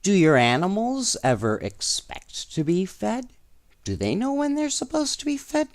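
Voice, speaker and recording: A man reading children's stories aloud in an expressive, playful way, with casual asides to young listeners. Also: high-pitched, a little slow, no echo, studio quality. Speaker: storyteller.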